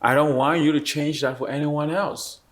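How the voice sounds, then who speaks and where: A man's voice: on the high side, steady tone, normal speed. Earnest encouraging man. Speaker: panel guest.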